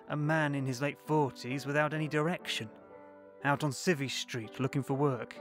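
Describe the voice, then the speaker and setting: A man playing a grown son who slowly and reflectively recalls his soldier father in a radio play, with faint music behind. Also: mid-range voice, a bit bright, close to an everyday voice. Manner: slow, reflective.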